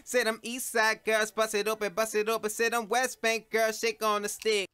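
High, bright rapped hook. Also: male voice, flat delivery, moderate pace, clean tone, no echo, studio quality. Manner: chanting short repeated hook lines. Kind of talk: rapped vocal track played back.

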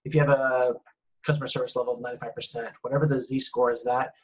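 Guy doing a recorded class lecture, kinda husky, keeps backing up and fixing what he said. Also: mid-range voice, level tone, fast, rough recording. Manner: rambling, self-correcting with frequent ums. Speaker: university lecturer.

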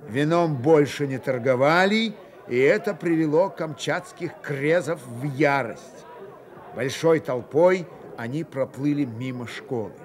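A man narrating a dramatised novel for Soviet radio theatre in a measured, expressive storytelling manner. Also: fairly high pitch. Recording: 1980 radio play, crowd behind.

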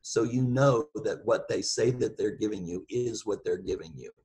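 A man giving an informal expert talk over a video call, explaining things in a halting, measured way. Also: on the low side, a little mellow, low-quality recording. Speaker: guest speaker, seafood industry professional.

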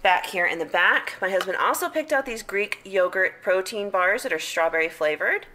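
A woman's voice: medium pitch, animated, normal speed, sharp tone, a little hiss or hum. A woman in her thirties casually chatting through a grocery haul at home, upbeat and repeating words for emphasis. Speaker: vlogger. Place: home hallway and kitchen, indoors.

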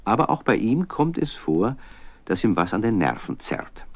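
An actor playing a friendly narrator who tells a scripted story to children. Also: male voice, fairly low pitch, sing-song, moderate pace, no echo. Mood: engaging.

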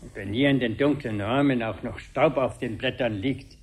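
A man's voice: medium pitch, normal speed, husky, natural voice. An actor playing a pedantic, grumbling gardener who loves his plants, in a 1970s German radio drama. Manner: pedantic, reciting Latin names. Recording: faint noise on 1970s radio recording.

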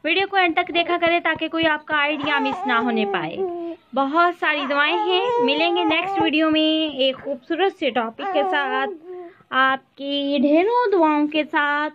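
A woman hosting her home decor channel, narrating a slideshow and blessing her viewers warmly. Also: high-pitched, normal speed, lo-fi sound. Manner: warm blessings and friendly appeals to viewers. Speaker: YouTube channel host. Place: voice-over, not in the pictured rooms.

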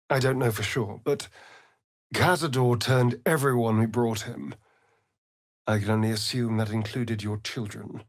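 An actor playing a sardonic, flirtatious and vain vampire rogue in a video game, with an arch, theatrical drawl. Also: male voice, mid-range voice, steady tone, slow, pauses often, no echo, clean studio sound. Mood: bitter, confiding. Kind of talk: video game character acting.

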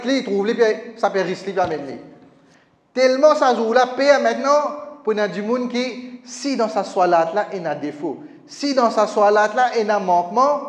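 A middle-aged man preaching a Friday sermon into a stand mic in a small mosque, speaking with a lilt and emphatic, repetitive phrasing. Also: sits high. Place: small mosque prayer hall, indoors. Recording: echoey hall with long reverb.

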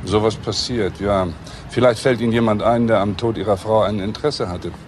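A man acting a police detective from a radio crime play. His voice sits fairly low and he asks his questions soberly and methodically. There is faint noise behind him. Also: steady tone, lightly acted. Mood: matter-of-fact. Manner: measured, matter-of-fact questioning.

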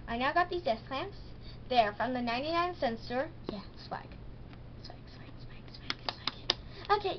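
A teenage girl chatting in a rambling, bubbly way in a casual home vlog, recorded on a handheld camera in her bedroom. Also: on the high side. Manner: bubbly, rambling, many fillers. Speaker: pony toy vlogger. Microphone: handheld camera's built-in mic. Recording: dull lo-fi camera audio with hiss.

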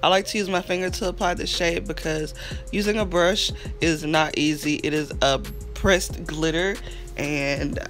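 A woman's voice: lowish voice, fairly even intonation, moderate pace, a bit bright, faint music behind. A young woman with a cold, chatting casually through a makeup tutorial in her bedroom. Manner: chatty, upbeat, talks to viewers as friends. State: stuffy, sick with a cold. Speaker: beauty vlogger. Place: bedroom, indoors. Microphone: camera facing her at close range.

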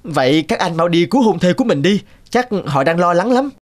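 Earnest, higher-voiced young prince. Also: male voice, expressive, fairly quick. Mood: confident, courteous. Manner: earnest, heroic. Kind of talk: cartoon voice acting. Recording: music behind.

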